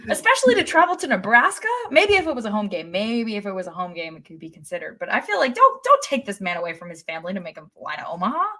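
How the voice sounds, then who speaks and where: A woman's voice: mid-range voice, very animated, fast, hardly any pauses, sharp tone. A woman co-hosting a podcast, talking informally about sports and repeating herself for emphasis. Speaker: podcast co-host. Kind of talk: casual sports chat.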